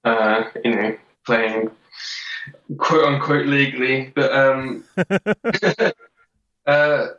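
A man's voice: medium pitch, very slow, pauses often, a bit bright, reverberant, distant mic, highs cut off. Hesitant man in his twenties. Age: 20s. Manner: hesitant, many ums and uhs. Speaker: podcast guest. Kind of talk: casual chat.

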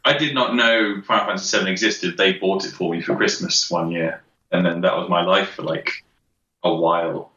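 A man's voice: deep voice, fairly even intonation, moderate pace, pushed and bright, roomy sound, lo-fi sound. A man in his twenties chatting casually as a podcast guest, rambling with fillers and restarts. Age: 20s. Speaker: podcast guest, game developer. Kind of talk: casual chat.

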